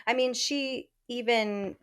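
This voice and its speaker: A woman's voice: fairly high pitch, steady tone, slow pace, fairly bright tone. Chatty woman podcast host. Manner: frank, chatty, many "like" fillers. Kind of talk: casual advice chat.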